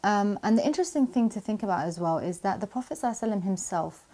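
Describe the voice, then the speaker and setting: A woman teaching Quran memorization, answering viewer questions in a calm, encouraging, measured way, with Arabic religious phrases woven in. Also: mid-range voice. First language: not Arabic. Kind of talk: Q&A video talk to camera.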